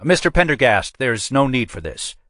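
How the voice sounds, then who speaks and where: A man voicing an anxious middle-aged male police captain trying to smooth things over. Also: mid-range voice, very animated, normal speed, a bit bright, dry recording, studio quality, voice pushed up. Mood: pleading. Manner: placating, nervous, officious.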